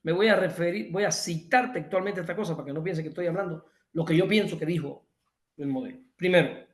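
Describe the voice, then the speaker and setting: A man's voice: sits high, fairly quick, reverberant. A man from Cuba with a Cuban accent, giving an emphatic online lecture over a video call, restating his points and correcting himself often. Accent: Cuban Spanish.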